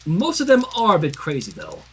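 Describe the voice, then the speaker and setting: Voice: medium pitch, wildly swooping pitch, brisk, fairly bright tone, slight background noise. A reader voicing a brash, protective young male dragon with a sly vengeful streak in a dramatic story reading. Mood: friendly, reassuring. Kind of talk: dramatic fan fiction reading.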